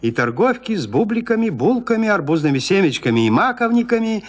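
Expressive, higher-pitched male storyteller. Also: fairly quick, big-room sound. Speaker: narrator. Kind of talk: dramatic literary audiobook narration.

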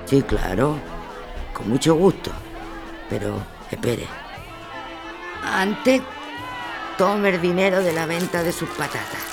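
Una mujer con acento andaluz interpretando a una líder obrera orgullosa y desafiante en una ficción sonora. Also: female voice, sits low, expressive, slow pace, long pauses, over music, a crowd in the background, heavily acted. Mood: proud, firm. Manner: assertive, defiant. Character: bold, proud working-class woman protest leader. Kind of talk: audio drama acting.

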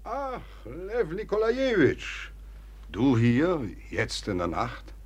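An actor playing a talkative, agitated old Russian general who blusters and rambles confidentially, in a theatrical German radio play. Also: male voice, a little high, huge pitch swings, slow pace, slightly rough, exaggerated voice. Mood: eager, insistent. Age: older. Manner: blustering, rambling, confidential, hesitating mid-sentence.

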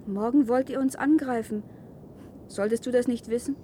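An actress playing a calm, earnest woman living free in a wasteland, in a 1970s German radio play. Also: fairly high pitch, normal speed, slight background noise, natural voice. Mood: calm, sad.

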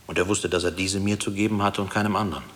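An actor playing a grave former prisoner haunted by wartime memories, speaking in a measured, reflective way in a radio play. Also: male voice, low voice, steady tone, a bit bright. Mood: sombre reflection. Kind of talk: German radio play acting. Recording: hissy old radio drama tape.

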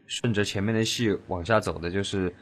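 A man's voice: low voice, steady tone, a little fast. A man, a voice actor on a cast panel, chatting casually about an audio drama and repeating his words for emphasis.

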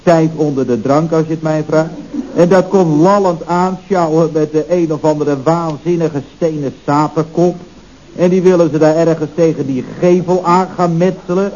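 An actor playing a deferential employee who tells things in a hesitant, colloquial, rambling way, in a radio comedy serial. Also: male voice, fairly high pitch, fairly even intonation, normal speed, a little mellow, natural voice. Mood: agitated reporting. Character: deferential, rambling male employee reporting to his boss. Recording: dull, noisy old radio recording.

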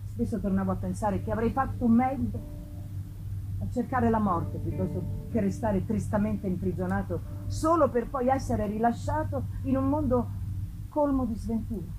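Theatrical woman reading a gothic novel. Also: a little high. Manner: theatrical, deliberate, emphatic swells and drops. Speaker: narrator, reader. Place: live festival performance. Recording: live reading with faint ambient noise.

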